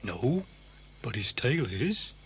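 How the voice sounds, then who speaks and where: A slow-witted farmer for a children's audio play. He sounds timid and dithering, with a really breathy, raspy voice and animated pitch. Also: exaggerated voice. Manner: hesitant, dithering. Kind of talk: children's audio drama acting.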